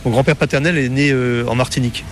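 A man's voice: mid-range voice, steady tone, fast, slight background noise. A middle-aged man with a Parisian French accent, chatting informally as an interview guest and telling casual anecdotes. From Paris, France. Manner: informal, often ends phrases with "quoi".

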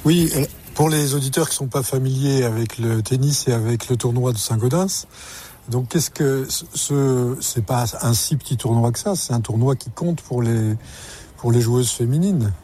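Mellow-voiced male radio host. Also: medium pitch, normal speed, husky. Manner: conversational, slightly hesitant. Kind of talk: radio interview.

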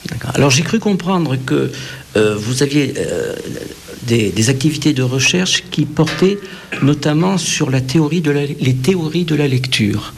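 A man hosting a literary interview, speaking in formal, measured phrases with frequent hesitations. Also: on the high side, animated, creaky, slight background noise. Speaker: interviewer, literary show host.

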